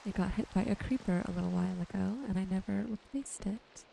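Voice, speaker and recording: A woman giving gentle, soothing ASMR commentary over her gameplay in an unhurried way. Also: medium pitch, fairly even intonation, creaky, slight background noise, low-quality recording. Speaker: gaming video creator. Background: music and rain sounds.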